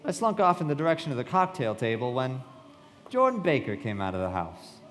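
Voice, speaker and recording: An actor playing a reflective, polite young man who narrates a radio play in measured storytelling. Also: medium pitch, big pitch swings, a little hiss or hum, close to an everyday voice. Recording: reverberant live hall.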